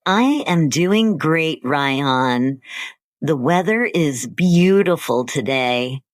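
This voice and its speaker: A woman co-hosting an English-learning podcast, speaking slowly and carefully in simple scripted sentences. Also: deep voice, animated, no echo, clean studio sound. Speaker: podcast co-host.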